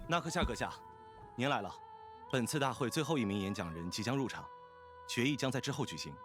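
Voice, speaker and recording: A man's voice: medium pitch, animated, quick pace, over music, lightly acted. A man playing a polite, deferential attendant in a video game, speaking courteously and formally.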